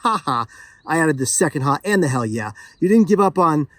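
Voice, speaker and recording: A man's voice: a little high, animated, a little fast, a bit bright, no echo, clean studio sound. A man chatting casually as a vlogger, exuberant, playful and emphatic in his delivery. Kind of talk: excited casual vlog chat while opening a parcel.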